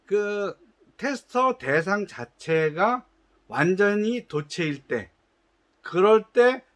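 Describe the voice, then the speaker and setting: A man's voice: high voice, fairly bright tone. A man from a shoe company patiently explains how to test his product, with frequent hesitations and restarts. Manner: gives instructions in polite formal speech.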